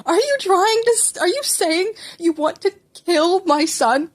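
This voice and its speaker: A woman's voice: very high voice, animated, a little slow, voice pushed up. A woman reading the part of an anxious, doting mother who fusses and frets over her young son in an audio story. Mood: alarmed. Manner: fretful, fussing, motherly.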